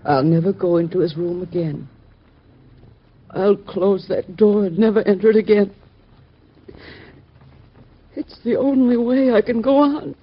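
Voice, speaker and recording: A breathy distraught mother. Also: medium pitch, unhurried, long pauses, dull audio. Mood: subdued. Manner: anguished, tearful pleading. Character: distraught mother mourning her son.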